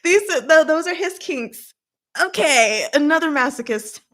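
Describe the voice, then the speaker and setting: A woman hosting a casual live recap, talking in an expressive, playful, giggly way with emphatic asides. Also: sits high, unhurried. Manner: giggly, expressive, laughs often while talking. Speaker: host of a live recap and discussion. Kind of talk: casual livestream chat recapping a story.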